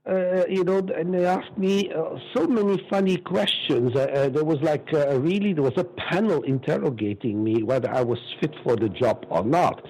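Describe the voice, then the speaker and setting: A man's voice: on the high side, normal speed, hardly any pauses, darkish tone, low-quality recording. A man in his sixties with a Belgian accent, telling stories emphatically and with strong opinions as an interview guest. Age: 60s. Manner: emphatic, opinionated, repeats words. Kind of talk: animated conversational storytelling.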